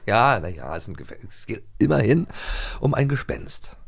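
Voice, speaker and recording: A man's voice: fairly low pitch, sing-song, normal speed, vocal fry, noisy background. A man hosting a podcast, chatting casually and eagerly repeating words as he goes. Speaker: podcast host. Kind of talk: casual chat.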